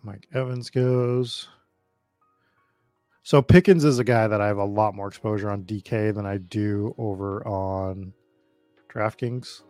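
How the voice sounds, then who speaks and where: A man's voice: lowish voice, steady tone, measured pace, darkish tone, no room sound, faint music behind. An American man from the Boston area chatting informally and swearing freely as he hosts a casual live draft stream commentary. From Boston area, United States. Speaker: host of a fantasy football draft stream.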